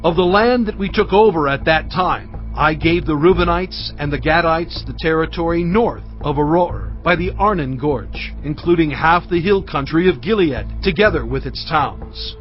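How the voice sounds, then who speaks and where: A man as Moses, earnest and measured. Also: a little high, muffled recording, soft background music, lightly acted. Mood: solemn. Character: Moses, an aged leader recounting conquests. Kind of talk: dramatised scripture reading.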